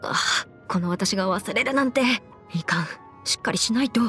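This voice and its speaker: A woman's voice: mid-range voice, animated, normal speed, sharp tone, soft background music. A woman voicing a confident, bookish young female legal expert in a video game, speaking in a self-assured, formal, lecturing way. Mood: dismayed. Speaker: video game character voice actor. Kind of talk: scripted anime-style game character monologue.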